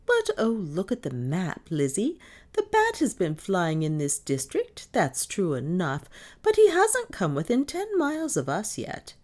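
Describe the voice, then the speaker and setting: A woman's voice: on the low side, wildly swooping pitch, measured pace, darkish tone, voice pushed up. A woman voicing a superstitious, gloomy, stubborn old Irish maidservant with a dramatic, insistent delivery in an audiobook. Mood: pleading, mournful. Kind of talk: audiobook character dialogue.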